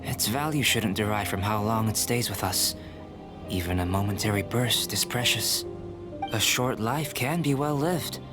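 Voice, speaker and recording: Male actor as a composed young alchemist, low measured voice, game music clearly underneath. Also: close to an everyday voice. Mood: philosophical. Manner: soft-spoken, measured, reflective. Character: calm young male alchemist, artificial being. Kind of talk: game cutscene voice acting. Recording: game soundtrack music behind.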